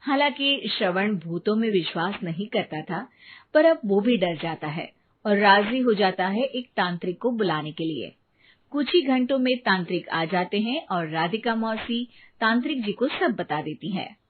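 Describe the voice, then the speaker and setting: A woman narrating a scripted animated story. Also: mid-range voice, normal speed. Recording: narrow phone-like sound, faint noise.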